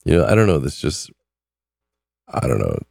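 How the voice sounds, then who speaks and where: Hoarse, very deep-voiced man. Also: wildly swooping pitch, darkish tone, no room sound, studio quality. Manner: chatty, repeats numbers slowly. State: losing his voice, sore throat. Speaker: podcast host. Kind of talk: casual news talk.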